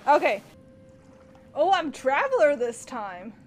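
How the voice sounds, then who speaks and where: A woman giving casual, expressive, exclamatory reactions as she plays a video game. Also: high-pitched, takes it slowly. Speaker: gaming streamer.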